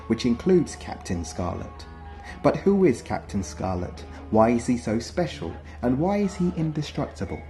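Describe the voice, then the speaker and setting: Lively male narrator over music. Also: medium pitch. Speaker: narrator of a countdown video. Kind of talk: scripted documentary narration.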